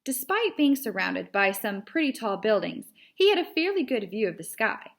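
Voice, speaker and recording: A woman's voice: medium pitch, very animated, moderate pace, gravelly. A woman reading a children's storybook aloud as a narrator, like a teacher to pupils.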